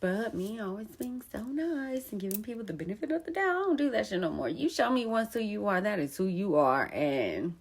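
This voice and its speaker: A woman's voice: medium pitch, sing-song, brisk, few pauses, breathy edge. A young woman telling her own story of betrayal in an emphatic, casual way with plenty of slang. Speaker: poet and storyteller. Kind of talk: candid personal storytelling.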